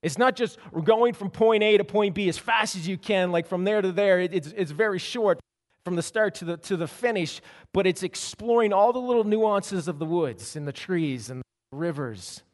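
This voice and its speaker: A man preaches from the pulpit, his voice sitting high for a man. He tells a story in an animated way, often stumbling and restarting. The sound rings with long reverb, as in a large church hall. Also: moderate pace. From Canada. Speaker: pastor. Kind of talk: sermon.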